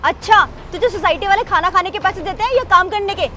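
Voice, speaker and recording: A woman's voice: extremely high pitch, fast, slight background noise. An actress playing an arrogant rich young woman who berates a guard in a short drama skit. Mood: furious. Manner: rude and shouting, later softly apologetic.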